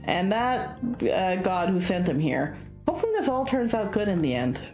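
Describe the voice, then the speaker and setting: A woman's voice: mid-range voice, sing-song, normal speed, a little mellow, soft background music. A woman playing a curious, polite young male student in a visual novel, speaking in a hesitant, conversational way. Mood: respectful, sincere.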